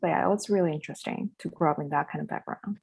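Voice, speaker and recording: Podcast guest: young woman, low pitch, loose and chatty. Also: racing, vocal fry, a little mellow, slightly rough. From southern China. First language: Chinese. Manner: chatty, laughs often, loose grammar with fillers. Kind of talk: casual interview chat.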